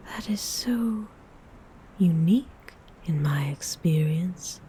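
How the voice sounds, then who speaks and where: Actress as female vampire, ASMR roleplay: breathy, mellow whisper, seductive and condescending, unhurried, some background noise. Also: mid-range voice, lightly acted, poor audio. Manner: slow, haughty, teasing, formal wording. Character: seductive, haughty female vampire feeding on a willing victim.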